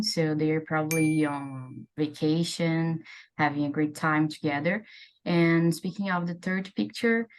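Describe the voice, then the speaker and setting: Low-voiced woman candidate. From Brazil. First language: Portuguese. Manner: careful, measured answers. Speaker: exam candidate.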